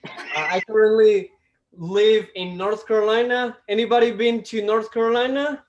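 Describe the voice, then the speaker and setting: A man from Costa Rica with a Costa Rican Spanish accent, doing a stand-up comedy set over a video call, with a deliberate delivery that stops and restarts. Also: high voice, steady tone, lo-fi sound. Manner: deliberate, with restarts and self-amused laughs. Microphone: video call mic.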